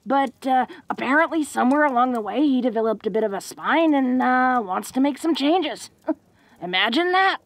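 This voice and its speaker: A woman voices a confident, secretly bashful orc girl in an intimate audio roleplay. Her voice sits a little high, with a gravelly creak. She talks slowly in folksy, halting tough-girl phrases, close and dry. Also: lively intonation, no echo. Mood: excited, proud.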